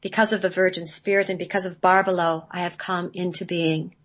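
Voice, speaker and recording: A woman's voice: on the low side, level tone, fairly quick, natural voice. A woman playing a divine spirit of creation in a radio play, making solemn, incantatory declarations. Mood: reverent.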